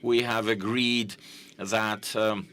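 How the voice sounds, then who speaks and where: Guy interpreting a press conference live, lowish voice, lots of uhs and restarts. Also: little pitch movement, a bit bright. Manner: halting, with frequent fillers and self-corrections.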